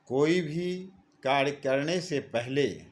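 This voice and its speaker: A man voicing a calm street seller of wisdom in a storybook tale, measured and instructive. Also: a little high. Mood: advising. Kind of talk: storybook character lines read by narrator.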